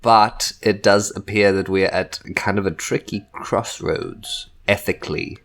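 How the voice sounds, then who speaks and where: Low-pitched New Zealand man over music. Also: a little slow, creaky, a bit bright. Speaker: tabletop game player. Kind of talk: casual improvised banter.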